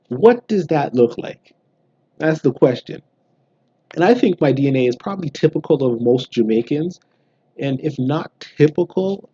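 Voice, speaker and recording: A man in his thirties from Canada, talking casually to camera at home about his results, explaining in a relaxed way with frequent ums and restarts. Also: medium pitch, slightly rough, no room sound, muffled recording. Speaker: genealogy vlogger. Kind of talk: casual direct-to-camera vlog. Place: small bright room indoors, seated at home.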